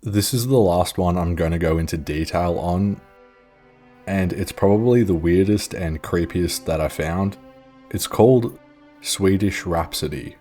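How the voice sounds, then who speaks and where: A young man with a very low voice narrates casually over music. He is informal and self-deprecating, happy to drop a swear word or an aside into his documentary delivery. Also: measured pace, warm tone. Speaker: video narrator. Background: background music.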